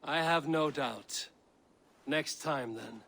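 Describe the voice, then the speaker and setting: An actor playing a stern, protective Viking clan champion in a game cutscene, speaking in a measured, resolute way. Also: male voice, fairly high pitch, sharp tone, exaggerated voice. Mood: reassuring. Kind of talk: video game cutscene acting.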